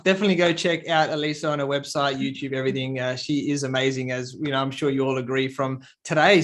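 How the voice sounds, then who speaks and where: A man's voice: fairly high pitch, fairly bright tone. Upbeat man hosting a business show. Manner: upbeat, effusive praise. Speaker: podcast host. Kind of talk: interview hosting, show outro.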